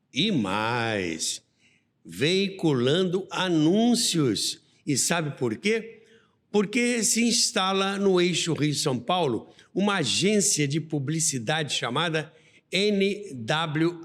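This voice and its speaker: Lively Brazilian man in a reverberant space. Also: fairly high pitch. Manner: didactic, expressive storyteller. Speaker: podcast host. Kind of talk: conversational storytelling about radio history. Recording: long reverberant hall-like echo.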